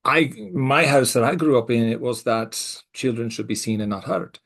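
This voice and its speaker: Gravelly middle-aged man, mixed Scottish-American accent. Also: mid-range voice. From Scotland. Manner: reflective, speaks in halting restarts with soft laughs. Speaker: co-host. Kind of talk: casual podcast conversation.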